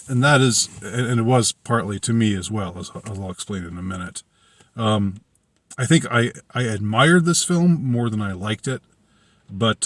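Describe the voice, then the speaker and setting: A man with a fairly low voice reviews a film off the cuff. He speaks hesitantly, breaking off and circling back as he searches for the next phrase. The sound is dry, with very little room around it. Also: no echo. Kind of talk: casual unscripted movie review monologue.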